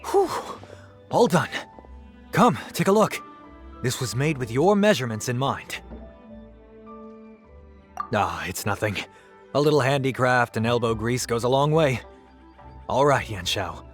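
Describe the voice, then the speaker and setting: A man's voice: medium pitch, expressive, unhurried, long pauses, natural voice. An actor voicing an easygoing, good-humored, fatherly boss of an inn in a video game. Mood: obliging. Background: background music.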